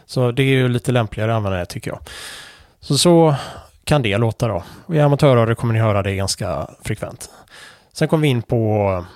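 A man calmly explaining Morse code conventions in a casual tutorial, pausing often with fillers and restarts. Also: medium pitch, no echo, clean studio sound. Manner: relaxed, hesitant with frequent fillers and restarts. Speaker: amateur radio instructor.

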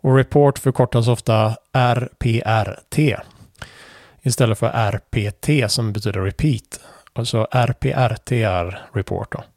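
Man, casual tutorial, hesitant, fillers, mid pitch, dry recording. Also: dry recording. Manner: relaxed, hesitant with frequent fillers and restarts. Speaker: amateur radio instructor.

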